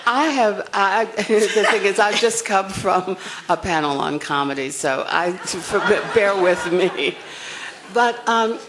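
An older woman with a soft voice, speaking frankly and wryly on a discussion panel. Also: mid-range voice, slow pace, noisy background. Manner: frank, wry, chuckling asides.

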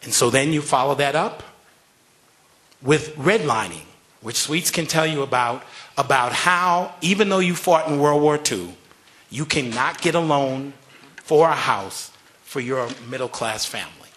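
A man's voice: mid-range voice, a little slow, a bit bright, a little hiss or hum. Earnest man urging a panel audience. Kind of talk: panel discussion.

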